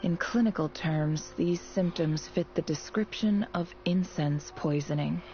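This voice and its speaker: A low, gravelly-edged young student. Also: female voice, unhurried, warm tone, lo-fi sound, a little hiss or hum. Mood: reading aloud. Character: young female academy student, guarded, wry and matter-of-fact. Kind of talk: video game voice acting.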